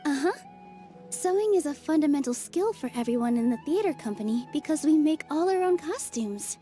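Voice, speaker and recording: A sweet young theater dancer with a high, mellow, lively voice. She speaks gently and warmly admires others, over soft game music. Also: brisk. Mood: gentle. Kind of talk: game cutscene voice acting.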